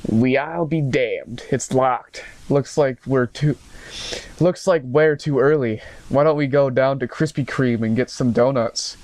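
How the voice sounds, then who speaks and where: A man's voice: mid-range voice, measured pace, a little mellow, lightly acted. Man as an easygoing male fox cop.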